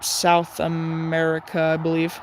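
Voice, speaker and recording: A man's voice: a little high, monotone, a little slow, very noisy. A man hosting a casual livestream, thinking aloud with many fillers as he tunes a radio. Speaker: livestream host tuning a shortwave radio. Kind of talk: casual live commentary.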